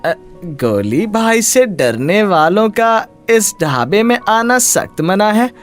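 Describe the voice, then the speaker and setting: A man voicing an anxious, folksy uncle who pleads and cautions in an animated moral story. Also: high-pitched, slow, faint music behind. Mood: worried, cautioning. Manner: pleading, folksy catchphrase talk.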